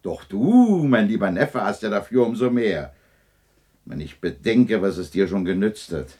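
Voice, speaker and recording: An actor playing a miserly, grumpy old businessman in a German 1960s radio play, gruff, sneering and impatient. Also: on the low side, sing-song, moderate pace, a little mellow. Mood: scornful.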